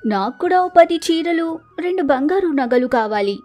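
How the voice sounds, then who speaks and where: A spoiled rich wife in a village moral tale , voiced by a woman, speaks in a very high voice. She is haughty, whining and demanding, with music playing softly behind her. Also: animated, normal speed. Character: Sharada, spoiled demanding wife, zamindar's proud daughter.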